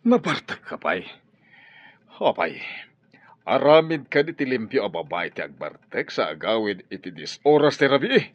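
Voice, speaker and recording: A man's voice: mid-range voice, very animated, moderate pace. A man with an Ilocano accent playing a stern, exasperated father who scolds and sighs in a radio drama. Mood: angry scolding. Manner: scolding, sighing. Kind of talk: Filipino radio drama acting. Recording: dull lo-fi radio audio over music.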